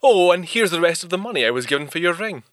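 Reserved 1930s British gentleman, courteous and formal. Fairly high, bright male voice for audio drama. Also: expressive, fast, slight background noise. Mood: coolly indignant. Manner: polite, formal, restrained. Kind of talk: full-cast audio drama acting.

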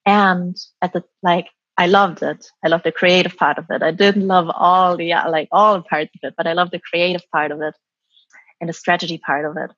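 A woman guest with a breathy voice who talks reflectively, full of "like" and "um", about her own path. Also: on the low side, steady tone, normal speed, fairly bright tone, no echo, highs cut off. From Germany and Taiwan. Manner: reflective, many "like" and "um" fillers. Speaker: guest, former business consultant. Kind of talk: reflective interview answers.

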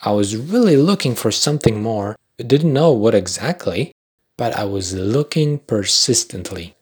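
A young man from Poland with a Polish accent, hosting a podcast in a measured, scripted reflective style with dry understated humour. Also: on the low side, lively intonation, a little mellow, husky. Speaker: podcast host. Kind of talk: scripted reflective narration with commentary.

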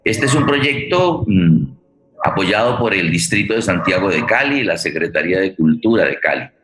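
A man with a Colombian accent hosting a streamed program, speaking formally in halting, wordy, deliberate phrases. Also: sits low, big pitch swings, fairly bright tone, a little hiss or hum. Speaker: host of a streamed theatre program. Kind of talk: formal spoken introduction. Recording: roomy echo on the voice.

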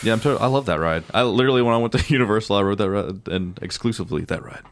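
A man's voice: on the low side, racing. A man hosting a podcast who reads listener mail and jokes and laughs a lot. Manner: joking, laughs often.

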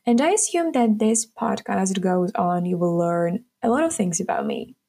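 A woman's voice: medium pitch, huge pitch swings, moderate pace, vocal fry, mellow. Upbeat nineteen-year-old woman hosting. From Ukraine. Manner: chatty and upbeat, addressing listeners as guys. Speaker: podcast host. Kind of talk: casual solo podcast monologue.

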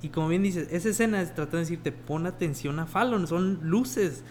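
Man, podcast co-host, a bit high-pitched, analytical, faint hum underneath. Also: brisk. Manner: reflective, analytical, gives soft backchannel replies. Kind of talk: casual film discussion.

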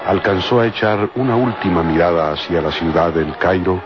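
A man's voice: low voice, fairly even intonation, normal speed, lightly acted. A man acting as the grave storyteller of an adventure radio serial, reading scripted narration dramatically. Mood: grave, dramatic. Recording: muffled old radio recording with loud music.

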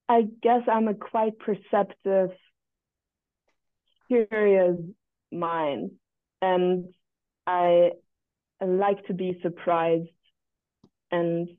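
A woman's voice: on the low side, no room sound. Podcast guest: woman, 27, from Berlin, reflective and tentative in casual talk, tinny call audio, no room sound. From Berlin, Germany. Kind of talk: casual interview conversation. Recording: thin, phone-like remote call audio.

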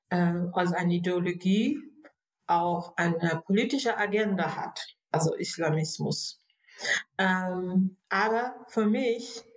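Woman guest, Persian accent, hesitant delivery, slow, low-ish. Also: low-quality recording. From Iran. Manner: hesitant, many fillers and restarts. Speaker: interview guest. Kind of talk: casual interview.

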